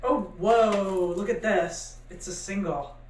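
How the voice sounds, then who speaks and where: A woman, a vlog host, chatting casually and reading titles out loud. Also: medium pitch, takes it slowly. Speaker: host, vintage reseller. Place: cluttered room indoors at home. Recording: echoey room, distant mic, faint noise.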